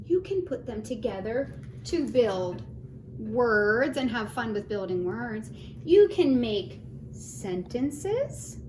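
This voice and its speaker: A woman teaching early reading to young children, speaking in a sing-song way, asking questions and sounding out letters and words. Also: mid-range voice. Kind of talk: phonics lesson for young children, read-aloud. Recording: roomy echo, clear background noise.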